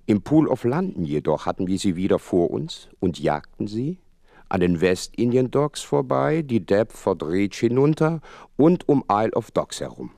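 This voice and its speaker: An actor playing an earnest gentleman doctor who narrates a detective radio play in measured, literary phrases. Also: on the low side, very animated, gravelly, no room sound, clean studio sound. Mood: tense, vivid.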